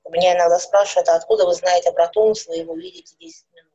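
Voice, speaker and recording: A middle-aged woman, a speech therapist, calmly explaining things with everyday examples in an interview. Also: lowish voice, very fast, creaky, muffled recording. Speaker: speech therapist and psychologist guest.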